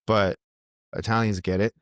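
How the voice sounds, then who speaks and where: Brief: man, musician guest, low voice, rambling and restarting, dry close sound. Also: little pitch movement, moderate pace, fairly bright tone, dry recording. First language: English. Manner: rambling, thinks aloud with many restarts. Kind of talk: casual podcast conversation.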